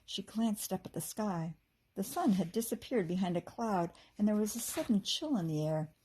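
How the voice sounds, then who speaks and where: An American woman, a teacher, reads a children's book aloud in an animated, expressive way, acting out the dialogue. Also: mid-range voice, normal speed, a little hiss or hum, low-quality recording. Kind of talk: expressive storybook narration.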